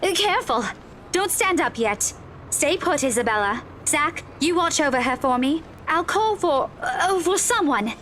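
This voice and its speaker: A woman voicing an alarmed, caring and bossy young female friend in a visual novel game, with faint rumbling noise behind. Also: lively intonation, a little slow, a bit bright. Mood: worried, commanding. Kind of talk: visual novel character voice acting.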